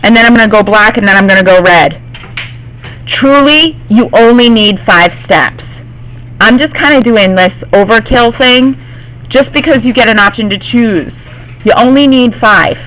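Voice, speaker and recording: A woman art teacher, slightly hoarse from losing her voice, gives a classroom demonstration and often checks in with her students. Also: a little high, normal speed, fairly bright tone, poor audio. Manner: reassuring, checks in with "okay?".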